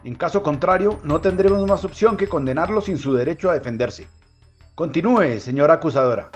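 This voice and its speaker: An actor as a pompous male priest judging a tribunal in a radio drama. He is formal and admonishing, a little high in pitch, recorded slightly muffled with music behind. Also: animated, brisk, natural voice. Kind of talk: audio drama courtroom acting.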